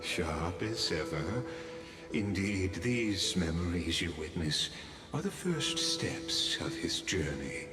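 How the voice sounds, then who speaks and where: A poised, enigmatic storyteller from a fantasy game, deep-voiced and unhurried. He guides listeners with theatrical, teasing poise, his words trailing into long reverb. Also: big pitch swings, full character voice. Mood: inviting. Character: poised, enigmatic male storyteller guiding visitors through memories. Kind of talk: dramatic game dialogue narration. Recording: echoey long reverb, music behind.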